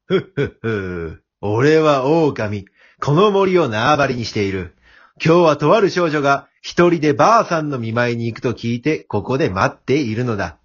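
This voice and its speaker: A man's voice: mid-range voice, animated, moderate pace. Man playing a swooping, theatrical villain wolf, lo-fi. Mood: gloating, scheming. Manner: theatrical, menacing chuckles and smooth-talking. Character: scheming male wolf villain, cocky but easily flustered. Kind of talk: amateur radio drama acting.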